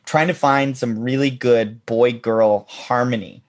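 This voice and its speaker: A man co-hosting a podcast in casual chat, talking haltingly with a deep voice. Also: steady tone. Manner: halting, many fillers and restarts, laughs often. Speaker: podcast co-host.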